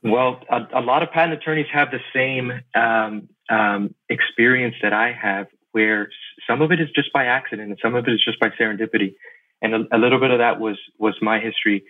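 Middle-aged American man, phone-like sound. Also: medium pitch. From Miami, Florida, USA. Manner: halting, repeats words while thinking. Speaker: podcast guest, lawyer and investor. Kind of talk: casual interview answers.

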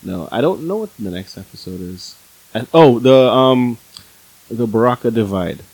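Man in his forties, lively swooping pitch, husky, dry close sound, casual co-host chat. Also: moderate pace, dry recording, rough recording. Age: 40s. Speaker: podcast co-host.

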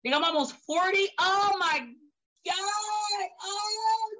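A man in his thirties hosting a podcast, talking in a loud, profane and preachy way. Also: very high voice, slow. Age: 30s. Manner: animated, preachy, exclaims loudly. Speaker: podcast host. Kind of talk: casual, profane chat.

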